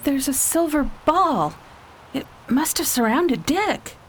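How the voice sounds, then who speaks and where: A woman playing a poetic young heroine in a space opera radio play. Her voice is high and very expressive, with faint noise on the recording. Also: slow. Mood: concerned. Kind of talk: radio drama acting.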